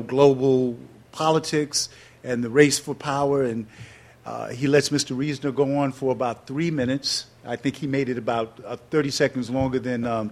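Man, sixties, from new york city, interview guest, storyteller, animated, laughs a lot, middle pitch. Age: 60s. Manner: animated storyteller, laughs often, drops into slower reflective passages. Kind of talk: storytelling before an audience.

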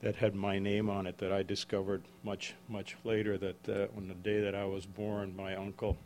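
A man in his seventies, from the Czech Republic, giving a book talk to a live audience in a halting way with frequent restarts and self-corrections. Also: fairly low pitch, level tone, darkish tone, a little hiss or hum. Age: 70s. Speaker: author. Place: event room with an audience.

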